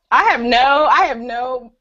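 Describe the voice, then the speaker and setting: A woman's voice: high voice, slow, pushed and bright. A woman in her forties chatting casually on a panel, laughing and joking about herself. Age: 40s. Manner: chatty, laughing, self-deprecating. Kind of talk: casual chat. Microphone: video call. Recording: muffled, lo-fi web call audio.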